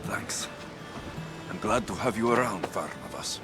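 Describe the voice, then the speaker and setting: A brooding young mercenary in a video game cutscene. His voice is fairly low and very dynamic, with a short temper, over background music. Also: expressive, unhurried. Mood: guarded, brooding. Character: brooding, hot-tempered young Greek mercenary warrior, male.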